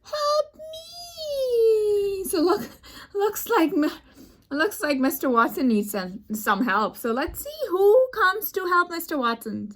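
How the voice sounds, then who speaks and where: A woman teaching young children through an expressive read-aloud, addressing them as friends and pausing to explain words and pictures. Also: high-pitched.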